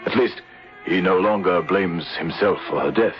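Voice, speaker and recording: An actor playing a grave, courteous insurance man telling his story in a measured, formal way in a radio drama. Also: deep voice, soft background music, close to an everyday voice. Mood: reflective. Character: grave, kindly gentleman life insurer narrating his case. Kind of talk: old-time radio drama, storytelling narration. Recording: dull vintage radio recording.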